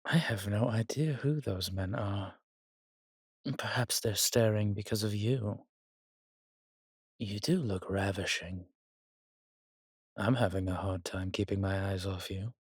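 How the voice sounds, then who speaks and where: Man voicing a husky, courtly vampire seducer. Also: on the low side, unhurried, pauses often, no room sound, clean studio sound. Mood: seductive, adoring. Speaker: voice actor in an audio drama. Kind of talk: intimate romantic audio roleplay addressed to the listener.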